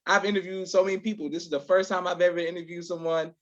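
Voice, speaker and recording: A man's voice: high voice, flat delivery, fast, fairly bright tone. A man hosting a casual live interview, effusive and affirming, often repeating his words. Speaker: podcast host. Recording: dull, lo-fi online call audio.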